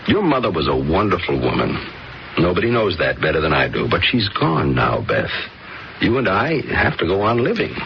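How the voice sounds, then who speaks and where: Deep-voiced, patient middle-aged father. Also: wildly swooping pitch, moderate pace, creaky, heavily acted. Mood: patient, firm. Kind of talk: radio drama acting. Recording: dull, noisy old radio recording.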